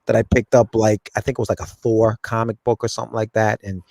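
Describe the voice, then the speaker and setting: A man's voice: on the low side, flat delivery, quick pace, creaky, darkish tone, no room sound. Rambling man from Ecuador in his forties. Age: 40s. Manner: rambling, frequent restarts and "like". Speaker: guest, comic creator. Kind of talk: casual interview chat.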